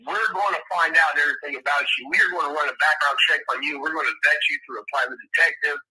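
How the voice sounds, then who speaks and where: A man's voice: a little high, steady tone, fast talker, sharp tone. A man talking casually on a recorded phone call, blunt and coarse-spoken. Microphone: telephone.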